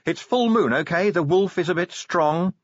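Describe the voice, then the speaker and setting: A man performs a female werewolf officer in an audiobook. The voice is raised in pitch and prickly, quick to snap and always on the defensive. The recording is a little muffled. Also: no echo. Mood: snappish. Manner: snappish, defensive.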